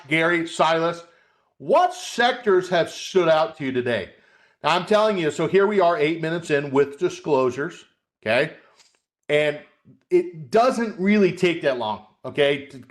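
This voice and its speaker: A man hosting a live trading webcast as a coach, talking through market charts in a conversational, folksy way, addressing viewers directly and often checking in with a short tag question. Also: fairly high pitch. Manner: conversational, repeats "okay?" as a check.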